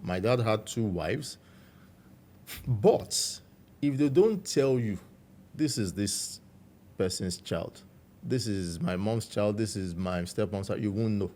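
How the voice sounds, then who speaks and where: Low-voiced Nigerian man telling his story. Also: lively intonation. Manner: measured, warm storytelling with frequent "you know". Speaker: guest. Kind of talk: reflective interview storytelling.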